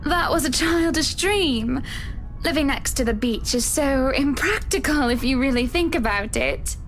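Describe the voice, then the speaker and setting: A woman's voice: high voice, lively intonation, a little slow, slight background noise. A woman playing a wealthy, breezy, imperious socialite wife in a visual novel game, with a polished, airy delivery. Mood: affectionate. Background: background music.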